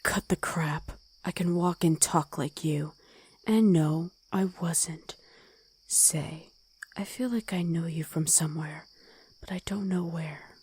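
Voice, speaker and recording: A woman voicing a gruff, protective wolf girl ex-soldier in an ASMR roleplay, stern and commanding, often in a hush. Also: low voice, fairly even intonation, takes it slowly, full character voice. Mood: cold, suspicious.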